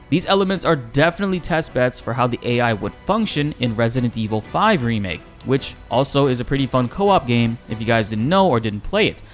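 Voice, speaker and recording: A man giving informal, enthusiastic gaming news commentary as a YouTuber, addressing his viewers directly. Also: medium pitch. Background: faint music.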